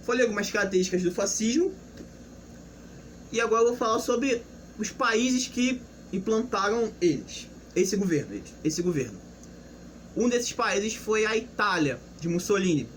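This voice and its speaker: A man's voice: high-pitched, very animated, moderate pace, a little hiss or hum, rough recording. A young man giving a casual, unscripted history lesson, explaining loosely with restarts and rhetorical questions. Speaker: history presenter.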